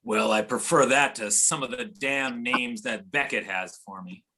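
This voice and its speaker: Man reading as bright, forceful sinister covert chief. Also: mid-range voice, measured pace. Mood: dry, scheming. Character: sinister, worn, smoking male covert operations chief. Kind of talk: amateur readers theater script reading. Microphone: video or conference call.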